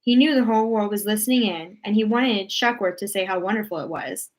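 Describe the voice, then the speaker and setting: Expressive woman narrating a children's storybook. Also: medium pitch, a little fast, poor audio. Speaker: read-aloud narrator.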